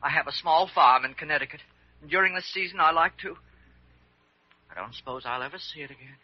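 An actor playing a fretful, gloomy hypochondriac patient in a vintage radio drama, moaning and full of self-pity. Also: male voice, fairly high pitch, a little fast, husky. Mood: mournful, resigned. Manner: moaning, self-pitying, melodramatic. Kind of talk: 1940s-50s radio drama acting. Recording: dull vintage radio recording.

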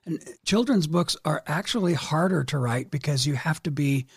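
A middle-aged man appearing as a podcast guest, speaking in a measured, modest way. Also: fairly high pitch, lively intonation, no echo, studio quality. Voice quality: gravelly, husky. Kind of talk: casual podcast chat.

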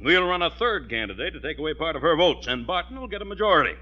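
Crooked party boss, American, oily, highish lively voice, theatrical false charm, muffled old radio recording. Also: male voice. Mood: scheming, brisk. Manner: oily, theatrical, fast-talking schemer. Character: sly, crooked male political boss, falsely flattering. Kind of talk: 1940s radio comedy acting.

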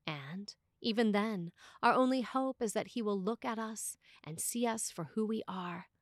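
A woman priest reads a scripted sermon in a deliberate way, with emphatic pauses. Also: medium pitch, no echo, clean studio sound.

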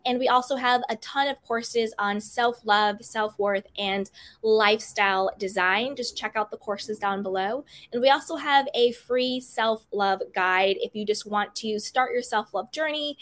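An upbeat woman hosts a relaxed podcast chat. She talks loosely and warmly, with lots of "like" and "you know" as she works toward her questions. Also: mid-range voice. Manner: chatty, upbeat, many fillers like "like" and "you know". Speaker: podcast host. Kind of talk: casual interview chat.

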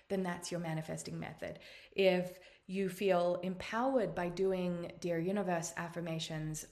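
A woman's voice: fairly low pitch, a little mellow. A woman in her forties with an Australian accent, hosting a motivational podcast in an earnest, encouraging tone and drawing out her phrases. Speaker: podcast host. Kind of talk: motivational monologue.

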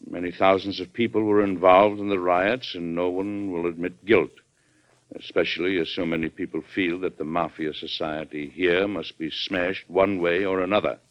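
A man acting a plain-spoken American president with a fairly low voice. He sounds folksy, deliberate and resolute, in the manner of classic radio drama. The sound is dull and lo-fi. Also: lightly acted. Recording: dull lo-fi vintage radio recording.

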